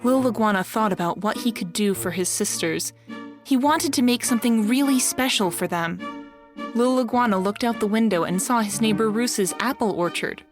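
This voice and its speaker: A woman's voice: a little high, moderate pace. Woman narrating a children's story over music. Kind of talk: scripted children's read-along narration.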